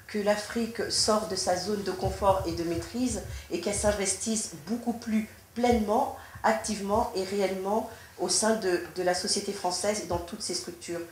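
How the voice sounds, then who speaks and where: A woman from France speaks formally and deliberately, often restarting her sentences as she answers an interviewer. Her voice sits at a middle pitch and carries a roomy echo. A faint hiss stays underneath. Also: darkish tone. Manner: measured, formal, with frequent restarts. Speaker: politician being interviewed. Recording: echoey room with faint noise.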